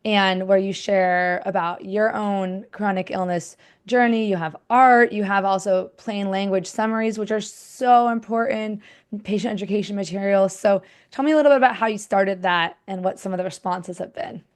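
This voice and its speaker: A woman's voice: mid-range voice, steady tone, normal speed, clear, resonant tone. Chatty woman hosting a casual interview. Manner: chatty, informal, thinking aloud. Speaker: podcast host interviewing a guest.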